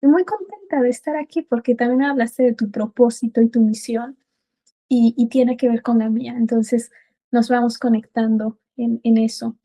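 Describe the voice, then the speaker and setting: A Mexican woman answering as an interview guest, earnest and halting, often repeating words as she goes. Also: fairly high pitch, steady tone, dark tone, poor audio. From Puebla, Mexico. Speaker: podcast guest, biodecoding practitioner.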